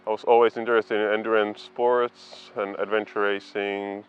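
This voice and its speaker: A man from the Czech Republic speaks English with a Czech accent in a low voice. He talks casually about himself, documentary interview style, with music faintly underneath. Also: level tone, moderate pace, highs cut off. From Prague, Czech Republic. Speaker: competitive bikepacking racer.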